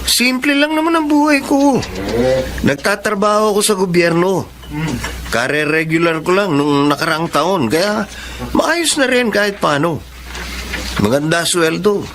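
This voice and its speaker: A man's voice: high-pitched, animated, unhurried, background noise. A man playing a modest, easygoing young man. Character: modest young government worker. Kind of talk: radio drama acting.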